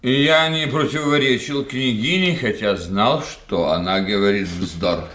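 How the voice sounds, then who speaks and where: An actor playing a witty, sceptical society doctor in a radio play, gossiping in a wry, theatrical way. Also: male voice, mid-range voice, fairly even intonation, unhurried, fairly bright tone, natural voice. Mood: animated reporting. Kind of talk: radio drama acting.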